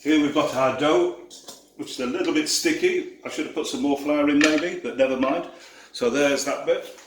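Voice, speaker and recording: A man's voice: on the high side, moderate pace, noisy background. Yorkshire man, casual cooking demo, roomy kitchen echo, clinking dishes and utensils. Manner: easygoing, self-deprecating, talks while working. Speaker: cooking video host. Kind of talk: relaxed cooking demonstration. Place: home kitchen. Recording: echoey kitchen with clattering dishes.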